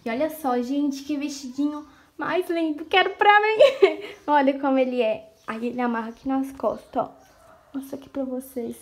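A woman's voice: sits high, normal speed. A young woman from Brazil, chatting to her phone camera at home in a gushing vlog style and often calling out to her viewers, her nose stuffy from a cold. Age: young adult. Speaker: vlogger. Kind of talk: casual vlog chat to camera. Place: home rooms indoors. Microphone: phone camera at arm's length.

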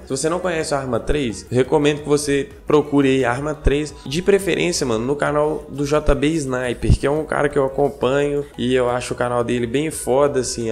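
A young man hosting a gaming channel, talking casually to his viewers with a hoarse, stuffed-up nasal voice from a cold, slangy and chatty, often checking in with them. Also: mid-range voice, a little mellow. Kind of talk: casual vlog talk to viewers. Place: home gaming setup.

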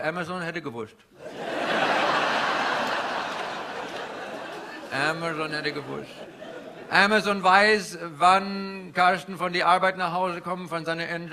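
A man playing a cheery, flattering Indian finance guru with an Indian accent, in theatrical character stand-up that repeats phrases and banters with the audience. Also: high-pitched, creaky, full character voice. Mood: teasing, sly. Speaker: stand-up comedian. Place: indoor live venue before an audience. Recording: live stage with noisy audience. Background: audience laughter and crowd ambience.

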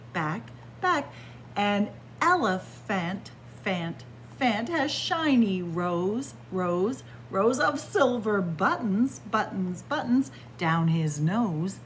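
A woman's voice: mid-range voice, a bit bright, a little hiss or hum. A woman in her sixties, a children's librarian, reading a picture book aloud in a library, chanting the rhymes in a sing-song way with playful asides, close to a webcam. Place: library with bookshelves. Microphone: webcam at close range.

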